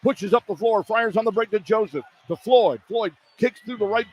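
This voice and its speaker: High-pitched American man calling basketball play-by-play. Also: moderate pace, a little mellow, muffled recording. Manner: clipped running phrases following the action. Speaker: radio play-by-play announcer. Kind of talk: live basketball play-by-play commentary. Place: courtside in an indoor arena. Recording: clear background noise, live arena broadcast.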